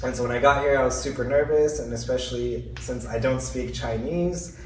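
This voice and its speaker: A man from California telling his story casually, relaxed and full of fillers. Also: medium pitch, fairly quick. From California, USA. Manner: relaxed, chatty, many "like" and "you know" fillers. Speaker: guest. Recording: roomy, distant mic, faint noise.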